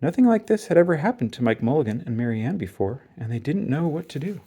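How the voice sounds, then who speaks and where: A man reading a children's story aloud in an expressive, dramatic and emphatic way. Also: on the high side, fast talker, slightly rough. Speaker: storybook reader.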